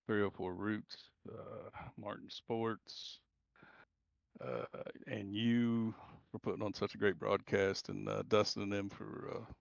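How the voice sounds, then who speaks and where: Gravelly man over dull, roomy voice chat. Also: lowish voice. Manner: plain-spoken, self-blaming, halting. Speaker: sim racing driver being interviewed. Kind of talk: casual post-race interview. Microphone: remote voice chat into the broadcast.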